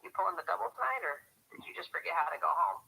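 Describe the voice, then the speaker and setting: An actor playing a harried small-town harbor office worker in a radio drama, chatty and fretful on a telephone. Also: male voice, high voice, wildly swooping pitch, rapid-fire, creaky, bright, forceful tone, slight background noise, heavily acted, poor audio. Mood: familiar, teasing. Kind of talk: radio drama phone call.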